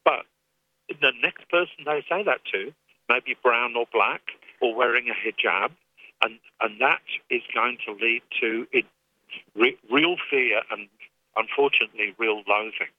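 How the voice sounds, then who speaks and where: A man's voice: mid-range voice, dry recording. English man on a tinny phone line. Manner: earnest, measured, with frequent restarts. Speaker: activist guest. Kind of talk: radio phone interview.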